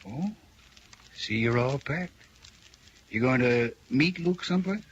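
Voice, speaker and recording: Police detective, probing, sly, animated intonation, radio crime drama, muffled old recording. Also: male voice, mid-range voice, takes it slowly. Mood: needling. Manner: probing, needling. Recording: band-limited, noisy old radio recording.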